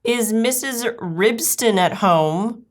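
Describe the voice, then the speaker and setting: A woman's voice: fairly low pitch, lively intonation, a little slow. A well-mannered lady dog paying a call in a storybook, as a woman reader puts her on. She is anxious and formal, with a fretful, mannered politeness. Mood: mannered, polite.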